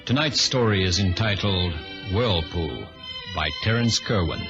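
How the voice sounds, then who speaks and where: A man's voice: low voice, lively intonation, a little slow. A man giving dramatic scripted announcements and narration in the style of old radio. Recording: dull vintage radio recording. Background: music.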